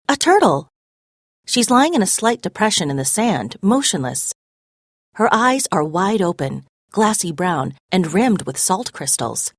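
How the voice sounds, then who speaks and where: Animated woman reading a children's story. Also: lowish voice, no room sound, clean studio sound. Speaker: read-aloud narrator. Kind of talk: expressive children's story narration.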